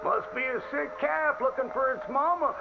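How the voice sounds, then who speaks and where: A man's voice: very high voice, normal speed, vocal fry, narrow, tinny audio. A man playing a cocky, teasing young country boy in a radio ghost tale, folksy and playful. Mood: reassuring. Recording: band-limited old radio sound, loud music behind.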